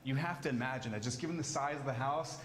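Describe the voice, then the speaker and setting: A man's voice: medium pitch, quick pace, fairly bright tone, a little hiss or hum. A man giving an informal guided tour, explaining what is on display in an explanatory, conversational way. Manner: explanatory, inviting listeners to imagine.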